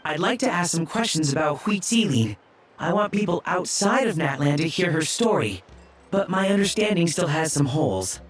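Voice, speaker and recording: A man's voice: fairly high pitch, sing-song, normal speed, soft background music. A man playing a polite, earnest young researcher from abroad in a video game, courteous and eager.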